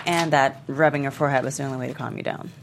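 A woman's voice: rumbling low, steady tone, very fast, vocal fry, slight background noise. A woman playing a wry, candid, struggling mother in a script table read. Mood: tender.

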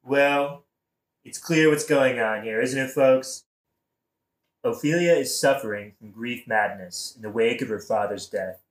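A man playing a smug, smooth-talking king with a glib, patronising manner in a satirical audio play. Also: medium pitch, measured pace, natural voice. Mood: smug explaining. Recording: echoey room.

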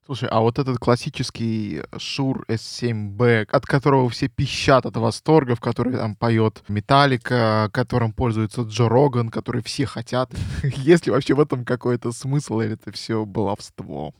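A man's voice: medium pitch, normal speed, no room sound, studio quality. A man co-hosting a podcast, asking inquisitive questions in conversation.

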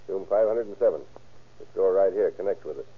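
An actor playing a plain-spoken, cautious boat captain in an old radio crime drama. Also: male voice, deep voice, a little fast, breathy edge. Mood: respectful. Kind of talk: 1930s-40s radio crime drama acting. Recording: muffled, scratchy old-time radio recording.

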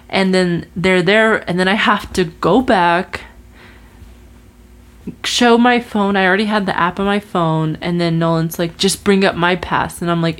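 A woman in her twenties chatting casually about her resolutions, telling a story with lots of fillers and restarts. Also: on the low side. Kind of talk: casual chat.

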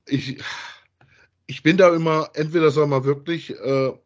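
A man's voice: on the high side, fairly even intonation, normal speed, lo-fi sound. A man chatting casually as a podcast host in a colloquial, rambling way, often ending phrases with tag questions. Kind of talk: casual conversational review of audio dramas.

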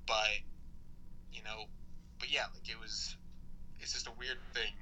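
A man's voice: on the low side, flat delivery, measured pace, bright, forceful tone, slight background noise, poor audio. A young American man speaking casually and informally as an interview guest, in a rambling, conversational way. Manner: rambling, informal, frequent "like" and swearing. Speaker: podcast guest, BMX rider.

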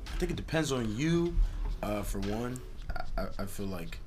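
Guest, Philly man, low voice that jumps around a lot, hype reactions, music underneath. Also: animated. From Philadelphia. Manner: hype, quick interjections. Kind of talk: casual podcast chat.

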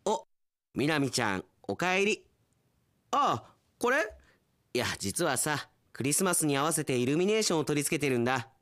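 A man acts out an earnest young country station worker for a radio drama. He is sentimental and openly expressive, with a highish voice that swings widely in pitch. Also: moderate pace. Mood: friendly. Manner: expressive, exclamatory, theatrical.

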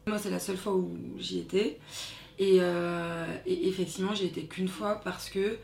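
A woman's voice: on the low side, steady tone, normal speed, gravelly, a little mellow. A woman in her thirties, born in France to Congolese parents, reflecting on her schooling in a casual interview with many fillers. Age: 30s. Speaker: interviewee, daughter. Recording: echoey room with faint noise.

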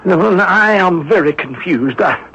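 Cornered male swindler for radio mystery: high-pitched, evasive, stammering protests, on a muffled vintage recording. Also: lively intonation, measured pace, pushed and bright, husky, exaggerated voice. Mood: flustered protest. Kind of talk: old-time radio drama acting. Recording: dull, lo-fi old radio recording.

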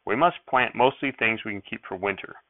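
A man voicing a gentle, composed pioneer mother who speaks quietly and politely, heard over a tinny radio recording. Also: fairly low pitch, fairly quick, dry recording, natural voice.

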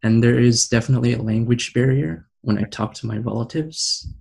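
A young man from Canada, a panel guest sharing thoughtfully and in a measured way. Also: mid-range voice, vocal fry, darkish tone, breathy edge. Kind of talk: reflective personal sharing in a panel discussion.

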